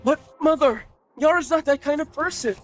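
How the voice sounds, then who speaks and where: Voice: high voice, moderate pace, faint music behind, close to an everyday voice. A performer playing the indignant grown child of a noble matriarch in a dubbed period drama, earnest and protesting.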